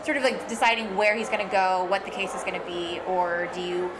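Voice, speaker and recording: A woman's voice: on the low side, fairly even intonation, fairly quick. A woman interviewing someone for a podcast in an informal, conversational style. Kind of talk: casual interview chat. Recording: clearly noisy background.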